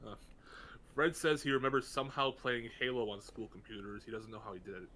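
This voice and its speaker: A man co-hosting a casual chat, joking and quick to laugh. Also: mid-range voice, a bit bright. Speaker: co-host reading chat. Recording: faint background noise.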